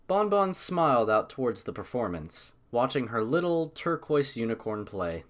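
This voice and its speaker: Animated male storybook narrator. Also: mid-range voice, moderate pace. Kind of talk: scripted audiobook narration.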